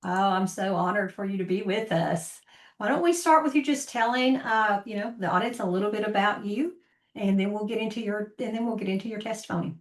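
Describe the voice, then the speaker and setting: A woman's voice: medium pitch, brisk. Woman podcast host, life coach, encouraging, echoey room. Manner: encouraging, affirming interjections. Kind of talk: podcast interview hosting. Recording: roomy echo.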